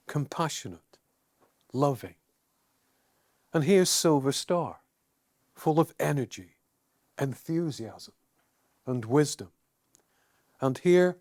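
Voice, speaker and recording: Male meditation guide: unhurried, soothing, deliberate pauses, dry close sound. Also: medium pitch, a little mellow, no echo.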